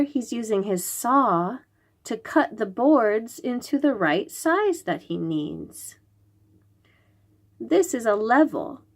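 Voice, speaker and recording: Female storytime reader for preschoolers: slow delivery, very lively intonation, simple explanatory, questioning manner. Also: a little high. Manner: instructive, questioning, enunciates key words for small children. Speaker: host reading picture books aloud to young children.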